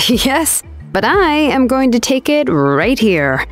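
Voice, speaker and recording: A woman's voice: high-pitched, very animated, slow, faint music behind, full character voice. A woman voicing a laid-back, nonchalant young craftswoman in a video game, with a casual, efficient manner.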